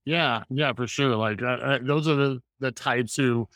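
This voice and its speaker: An energetic male podcast host with a mid-range voice, recorded close and dry. He chats casually and loosely, circling through his thoughts with plenty of filler words as he works toward his questions. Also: mid-range voice, measured pace, dry recording. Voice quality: loud voice. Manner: rambling, filler-heavy, energetic. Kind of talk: casual conversational interview.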